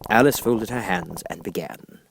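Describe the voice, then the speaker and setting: A man reading a storybook aloud as an expressive audiobook narrator. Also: low-pitched, normal speed, gravelly, a little hiss or hum, poor audio.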